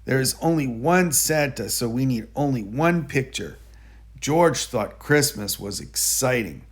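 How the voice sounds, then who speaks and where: A man narrating a children's picture book aloud in a deliberate, explanatory manner. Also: mid-range voice, expressive, breathy edge. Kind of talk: children's storybook read-aloud.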